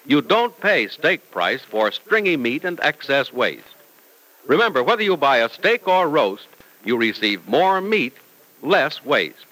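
A man's voice: a little high, bright, forceful tone, rough recording. A man announcing and narrating in a polished, emphatic, scripted vintage radio style.

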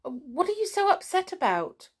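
A woman's voice: extremely high pitch, very animated, moderate pace, voice pushed up. A woman voicing a kindly, earnest young schoolboy in a children's storybook, full of wonder. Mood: astonished, concerned.

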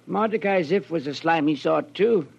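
An actor playing a fussy, earnest, mild snake farmer in 1940s radio style, prim and chatty. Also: male voice, fairly high pitch, normal speed, vocal fry, darkish tone, breathy edge. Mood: mild, regretful. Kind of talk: 1940s radio character acting. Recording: dull vintage radio recording.

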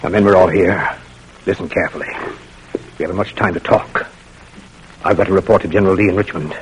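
An actor playing a grave, commanding Confederate spy captain in an old radio drama, speaking in a measured, authoritative, solemn way. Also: male voice, low-pitched, fairly even intonation, natural voice, low-quality recording. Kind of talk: 1940s radio drama acting. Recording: old radio recording, faint noise.

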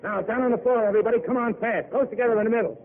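A man's voice: very high voice, fairly even intonation, rapid-fire. An actor playing a hardened gangster who talks in clipped tough-guy slang, in old radio drama. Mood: commanding. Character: hardened male gangster, terse and menacing. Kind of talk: 1930s radio drama acting. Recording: tinny old broadcast with hiss.

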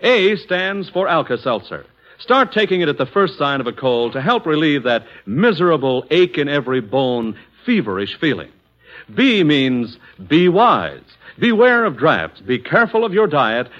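A man's voice: fairly high pitch, big pitch swings, moderate pace, a bit bright. An American man announcing in the emphatic, folksy sales style of 1940s radio advertising. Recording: dull vintage 1940s radio recording.

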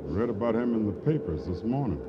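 An American actor playing an uneasy, evasive booth clerk in a 1950s radio crime drama, speaking in a hesitant, folksy way. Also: male voice, on the low side, sing-song, brisk. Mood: defensive, rueful. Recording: noisy, muffled vintage radio recording.

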